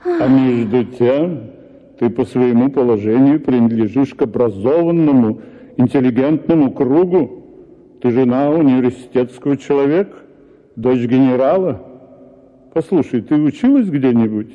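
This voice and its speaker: An actor reading a story for radio as a husband, lecturing in an exasperated tone. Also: male voice, medium pitch, moderate pace. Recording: tinny radio broadcast with hall reverb.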